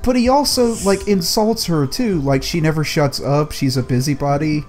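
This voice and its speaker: A man's voice: fairly high pitch, moderate pace, a little mellow, faint music behind. A man chatting casually as a co-host on a podcast. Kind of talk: casual chat.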